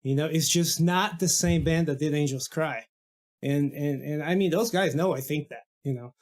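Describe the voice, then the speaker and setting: A man's voice: a little high, normal speed. A man from Brazil, a guest musician in a casual podcast interview, talking enthusiastically with many restarts and fillers.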